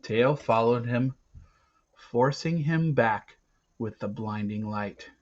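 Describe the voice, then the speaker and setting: A man reading an adventure novel aloud in a dramatic, emphatic way, swinging between hushed and loud delivery. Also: mid-range voice, slow pace. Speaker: reader of a children's adventure novel. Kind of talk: dramatic read-aloud narration. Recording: dull, lo-fi recording.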